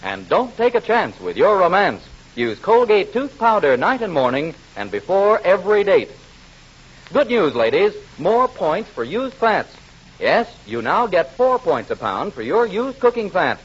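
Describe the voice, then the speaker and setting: A man's voice: high-pitched, very animated, unhurried, husky. A man working as a 1940s radio announcer, reading sponsor messages in an emphatic, salesman-like delivery. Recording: dull 1940s radio broadcast recording.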